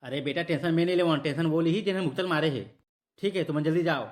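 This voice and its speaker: A woman voicing a folksy, easily flustered village headman, fatherly yet gruff, in a Chhattisgarhi comedy cartoon. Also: low voice, quick pace, a little mellow, lightly acted. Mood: reassuring.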